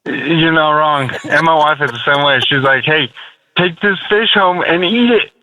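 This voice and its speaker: A man's voice: on the high side, normal speed, bright, forceful tone, slight background noise. A man calling in to a radio show, chatting casually in a friendly, enthusiastic way. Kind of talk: casual chat. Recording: lo-fi dry phone call.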